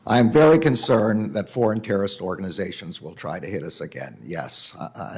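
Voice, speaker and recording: A man testifying as a nominee over a video call, answering in careful, halting, measured sentences. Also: deep voice, darkish tone, slightly rough. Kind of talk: formal hearing testimony.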